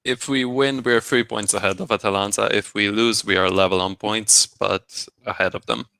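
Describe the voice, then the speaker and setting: Bright-toned wisecracking man. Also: fairly low pitch, steady tone, normal speed, vocal fry. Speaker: podcast guest. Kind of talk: casual chat.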